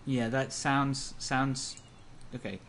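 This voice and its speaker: A man's voice: mid-range voice, little pitch movement, slow, a crowd in the background. Young man stumbling through a game read-aloud. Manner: stumbles over words while reading. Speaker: let's-play co-host. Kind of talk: amateur visual novel read-aloud with casual banter.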